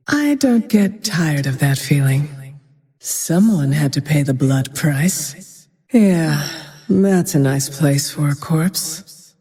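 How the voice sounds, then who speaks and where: Grim female avenger archer for a fantasy game: slow, dark-toned, merciless and composed, in long reverb. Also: deep voice, heavily acted. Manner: cold, brooding, measured, often dropping to a breathy whisper. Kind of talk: dramatic video game voice lines.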